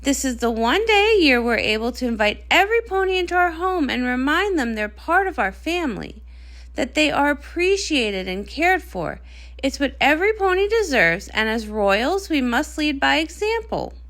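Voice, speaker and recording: A woman voicing a gracious, brisk middle-aged pony queen and mother, speaking in a regal, polished, encouraging manner. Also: high voice, very animated, fairly bright tone, full character voice. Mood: gracious, firm.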